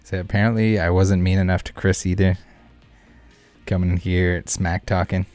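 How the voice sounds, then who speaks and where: A male streamer with a low voice talks casually through a card game. He rambles and jokes with his viewers in a laid-back way. Faint music plays behind him. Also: little pitch movement, normal speed, a little mellow, no room sound. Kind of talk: casual live game commentary and chat with viewers. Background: background music.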